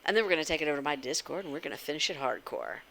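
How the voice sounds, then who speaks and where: Low-pitched, bright-voiced woman hosting a stream. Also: lively intonation, rapid-fire, gravelly, a little hiss or hum. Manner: informal, addresses viewers directly with frequent questions. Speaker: livestream host. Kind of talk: casual interactive game stream chat.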